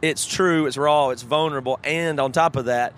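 A man with a fairly high voice, talking in casual podcast chat. He is thoughtful and frank and often restarts his sentences while he works out an idea. Now and then a curse slips in. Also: moderate pace, a bit bright, clear, resonant tone. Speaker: podcast co-host.

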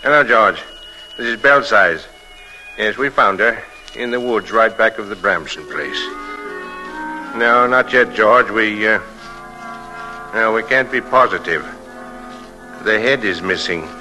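An actor playing a polite, businesslike county police inspector in a 1940s radio drama, clipped and official. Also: male voice, lowish voice, unhurried, long pauses, bright, forceful tone, faint music behind, low-quality recording. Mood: urgent, grave. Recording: vintage 1940s radio recording.

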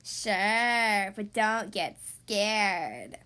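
A woman voicing a haughty, snappish girl monster with a raspy, drawling delivery. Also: medium pitch, lively intonation, bright, forceful tone, pitched below the performer's own voice, heavily acted. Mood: menacing. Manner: slow, drawling, indignant.

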